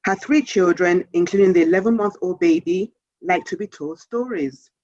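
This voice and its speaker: A woman author reading a children's story aloud in a measured, expressive storytelling manner. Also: lowish voice, dry recording, muffled recording.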